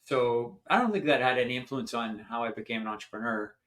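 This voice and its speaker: An older man from Canada, a company founder telling stories in a chatty, animated way during an interview. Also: medium pitch, quick pace. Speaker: founder and guest. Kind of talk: storytelling in an interview.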